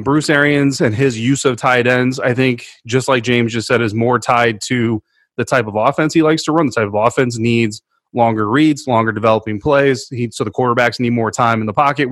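A man's voice: mid-range voice, a bit bright, no echo, clean studio sound. A man co-hosting a sports podcast, talking through his analysis in a conversational, explanatory way.

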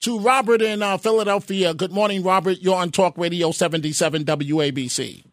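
High-voiced, brisk radio talk host. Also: male voice, fairly even intonation, fairly bright tone, no room sound, clean studio sound. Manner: talks over callers with rapid repeated interjections.

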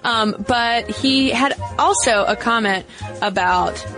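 A woman's voice: a little high, takes it slowly, over music. A woman co-hosting a podcast in casual chat, thoughtful and hedging with fillers as she talks. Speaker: podcast co-host.

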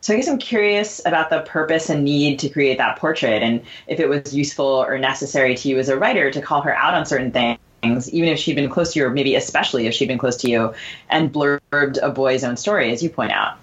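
A woman co-hosting a conversational literary interview, putting questions in a measured, articulate way. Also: a bass voice, highs cut off. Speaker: podcast co-host.

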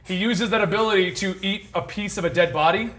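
A man's voice: sits high, fairly quick, a bit bright. A man acting as moderator in casual banter with friends, amused and giggly. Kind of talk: casual banter, judging a game.

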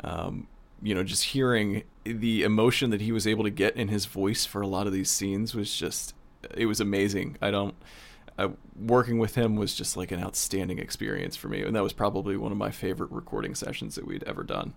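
Lowish-voiced man chatting casually as co-host. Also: a little fast, creaky. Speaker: producer and co-host of an audio drama podcast. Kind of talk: casual behind-the-scenes chat.

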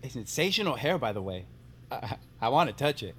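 An actor playing a goofy, eager new coworker who rambles in a casual, enthusiastic way, in an audio drama. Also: male voice, medium pitch, very animated, fast talker, fairly bright tone, a little hiss or hum. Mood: friendly, goofy.

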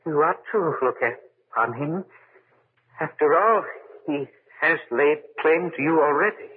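Casting: creepy old tomb keeper, high-pitched, formal and servile, vintage radio horror on a narrow-band recording. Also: male voice, lively intonation, slight background noise. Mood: ominous. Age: older. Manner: formal, ominous, deliberate. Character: eerie, servile old man guarding a tomb for his master. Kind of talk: 1940s radio horror acting.

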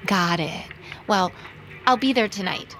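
An actress playing an anxious young woman vampire in an audio drama, speaking haltingly and often muttering to herself. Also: mid-range voice, expressive, noisy background. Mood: nervous, falsely casual. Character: young woman vampire, starving, anxious, determined to live ethically. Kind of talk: naturalistic audio drama acting.

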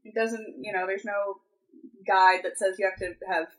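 A woman's voice: medium pitch, fairly even intonation, moderate pace, poor audio. A woman in her twenties co-hosting a podcast in casual chat, rambling with lots of "like" and "um". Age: 20s. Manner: rambling, full of "like" and "um". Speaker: podcast co-host.

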